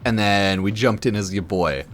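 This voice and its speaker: A man's voice: fairly low pitch, moderate pace, soft background music. A slightly tipsy man giving casual livestream commentary in a blunt, slangy, sweary way, often repeating himself and checking in with the listener. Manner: repeats himself for emphasis, tags lines with "right?". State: a bit drunk. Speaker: game streamer.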